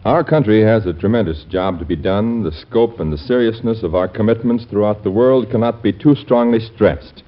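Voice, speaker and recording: A man's voice: on the low side, normal speed, hardly any pauses. Emphatic vintage male radio announcer. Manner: earnest, emphatic. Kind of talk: scripted 1940s recruiting announcement. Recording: muffled old radio recording.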